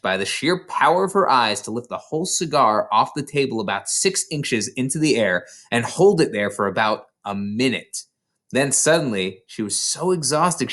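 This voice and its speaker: A man reads a children's story aloud as a storyteller. His pitch is very lively and moves widely, giving the narration an expressive, dramatic shape. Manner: dramatic, animated storyteller delivery. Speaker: storybook reader.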